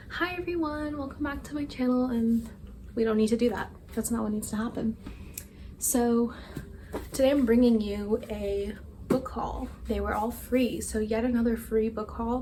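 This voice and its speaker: A woman's voice: on the high side, dark tone. Young female book vlogger, casual and rambling, self-deprecating, faint room noise. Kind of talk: casual chat.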